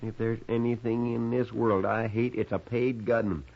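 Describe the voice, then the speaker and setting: A folksy deputy type in an old radio western. His medium-pitched voice is earnest and plaintive. The recording is dull and boxy with age. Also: little pitch movement, gravelly. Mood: earnest, troubled. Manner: folksy, plaintive drawn-out delivery. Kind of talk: 1950s radio western acting. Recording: muffled 1950s radio recording.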